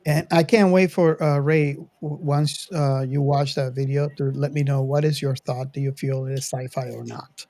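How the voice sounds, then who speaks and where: A man hosting a podcast in a casual, chatty style, talking in a halting, meandering way with emphatic sentence openings. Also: medium pitch, fairly even intonation, mellow, no echo. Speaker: podcast host. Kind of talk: casual hobby chat.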